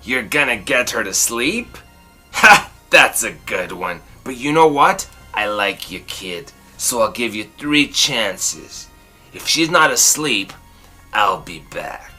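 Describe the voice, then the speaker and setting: A woman voicing a gruff, mocking but fond male monster in a children's storybook reading, with a slow, scoffing delivery over music. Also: extremely low pitch, big pitch swings, bright, forceful tone, pitched below the performer's own voice, exaggerated voice. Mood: scoffing, teasing. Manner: snorting, scoffing, drawn-out delivery.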